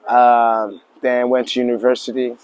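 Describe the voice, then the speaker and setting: A man in his forties from Tanzania, a lodge owner speaking as an interview guest in a relaxed, halting way with many fillers and restarts. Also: medium pitch, background noise. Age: 40s. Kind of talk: casual interview talk.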